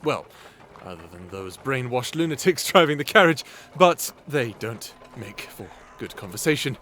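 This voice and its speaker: A man's voice: mid-range voice, lively intonation, normal speed, fairly bright tone, a little hiss or hum, natural voice. A man playing a nervous, polite, overwrought human captive in an audio roleplay, speaking hesitantly. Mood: sheepish. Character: anxious, overwrought young human captive competing for survival. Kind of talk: cinematic audio roleplay acting.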